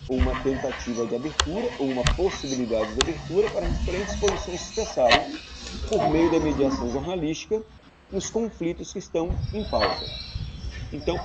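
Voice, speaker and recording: A man with a Brazilian accent giving an academic panel talk, explaining deliberately and often adding short tag questions. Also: fairly high pitch. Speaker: academic panelist, researcher and ethics lecturer. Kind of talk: academic panel presentation, extemporaneous. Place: remote online conference call. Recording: dull lo-fi online call, loud noise.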